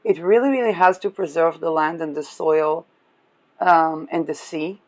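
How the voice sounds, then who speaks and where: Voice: medium pitch, a little slow, dull audio. A person giving a casual, rambling astrology talk, chuckling often between remarks. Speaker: astrology reader.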